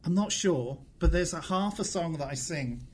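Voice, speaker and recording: A man's voice: fairly high pitch, animated, moderate pace, a little hiss or hum. A man chatting casually as a podcast guest, with a playful, dry sense of humour. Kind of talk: casual interview chat.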